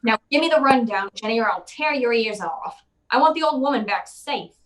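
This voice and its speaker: A woman's voice: on the high side, animated, fairly quick, natural voice. A woman playing a tough, wisecracking, unflappable private detective in an old-time radio drama, with clipped, slangy delivery. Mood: tough, pressing.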